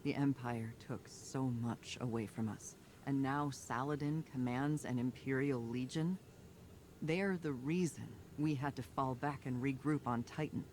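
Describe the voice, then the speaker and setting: A man's voice: mid-range voice, a little mellow, slight background noise, lightly acted. A man playing a resolute, burdened military commander, earnest and firm, in a video game story monologue.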